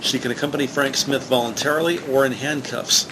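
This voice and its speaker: A man reading scripted narration for a police drama in a measured, factual manner. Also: mid-range voice, fairly even intonation, noisy background, close to an everyday voice.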